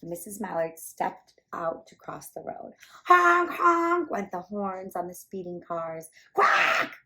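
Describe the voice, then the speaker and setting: A woman hosting a children's storytime, reading a picture book aloud with animated, playful emphasis. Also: on the low side, takes it slowly. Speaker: children's storytime reader and host.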